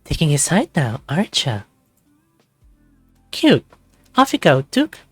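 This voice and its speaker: A man's voice: fairly high pitch, wildly swooping pitch, slow, fairly bright tone, husky, dry recording, voice pushed up, full character voice. A man voicing a flirty, teasing female furry lab boss in a visual novel, with a coy, sugary manner. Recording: music playing behind close dry voice.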